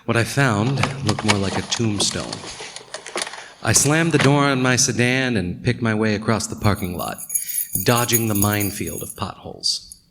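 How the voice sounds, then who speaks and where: A man's voice: lowish voice, moderate pace, natural voice. A man playing a wry, brooding small-town detective, narrating an audio drama in a dry, hard-boiled manner. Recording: clear background noise and ambience.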